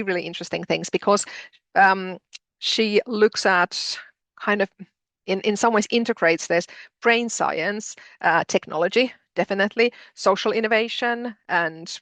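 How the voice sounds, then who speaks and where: A woman with a Finnish accent giving a webinar presentation, hesitant with many pauses and restarts. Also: medium pitch, creaky, a bit bright, dry recording. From Finland.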